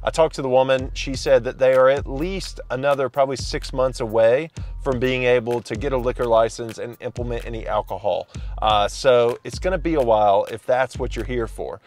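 Man, 30s, loud upbeat vlogger, phone at arm's length in a parked car. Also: medium pitch, fairly even intonation, brisk, soft background music. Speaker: coffee shop reviewer. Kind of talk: casual vlog review. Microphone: phone camera at arm's length.

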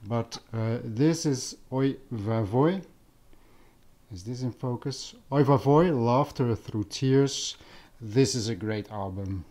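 Slow-talking Dutch-accented man, mellow voice. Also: medium pitch. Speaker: vlogger, audio enthusiast. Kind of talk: casual unscripted vlog commentary. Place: living room at home.

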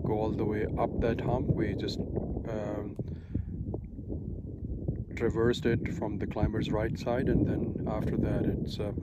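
Slow-talking hiker in heavy wind. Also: male voice, on the low side, flat delivery. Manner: halting, with many fillers. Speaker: hiker filming his climb. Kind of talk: casual narration while hiking. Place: outdoors on a windy mountain. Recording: dull lo-fi sound with loud wind noise.

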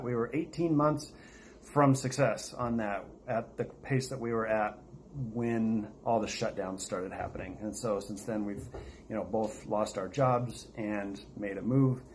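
An American man chatting casually to viewers as the host of a vlog. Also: on the low side. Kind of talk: casual chat to camera. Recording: steady noticeable background noise.

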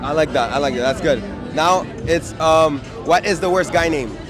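A young man asking passers-by quick questions outdoors, teasing and playful, into a handheld mic. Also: a little high, music in the background, a crowd in the background. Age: young adult. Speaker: street interviewer. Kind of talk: casual street interview questions. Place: outdoors on a beach promenade and street.

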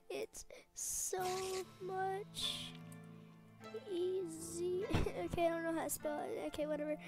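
A young, high-pitched vlogger talks casually into a close computer mic. The delivery is informal, giggly and unhurried, with music playing behind it. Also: steady tone. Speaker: video host. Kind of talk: casual gaming prank vlog.

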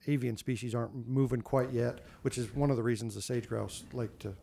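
A man's voice: mid-range voice, steady tone, brisk, vocal fry, mellow, slight background noise. A man, a wildlife biologist, answering a board question in an explanatory way with ums and repeated words. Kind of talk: answering questions at a public meeting.

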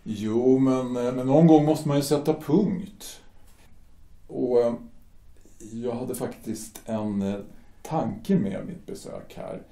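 A man's voice: medium pitch, gravelly, mellow, close to an everyday voice. A man playing a hesitant young ex-sailor. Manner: hesitant, halting. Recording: echoey room.

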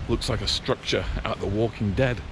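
A man's voice: fairly low pitch, moderate pace, background noise. A middle-aged male vlogger giving casual, musing commentary while walking outdoors with a handheld camera. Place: outdoors on a park road and path. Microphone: handheld action camera at arm's length.

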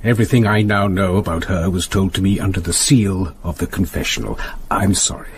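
An actor with a British accent playing a gentle, grave parish priest in a radio drama, courteous but firm. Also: male voice, sits low, brisk, slightly rough, exaggerated voice. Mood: solemn, regretful. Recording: noisy radio drama with ambience.

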